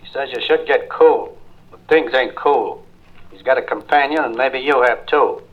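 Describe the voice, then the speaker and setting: An actor playing a terse, insistent underworld go-between, heard through a telephone filter in a 1950s radio drama. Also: male voice, medium pitch, normal speed. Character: terse male underworld go-between relaying threats. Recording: tinny phone line, vintage radio.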